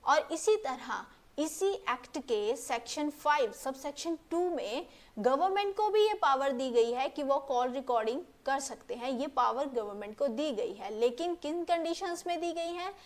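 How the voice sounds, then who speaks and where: A woman's voice: high voice, lively intonation, measured pace. A woman presenting an informal explainer lesson, rephrasing points as she goes and mixing English legal terms into her speech.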